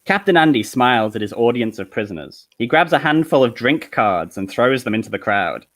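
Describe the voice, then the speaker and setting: A man's voice: medium pitch, animated, brisk, fairly bright tone. A man reading screenplay stage directions as narrator of a comedy table read, with an amused manner.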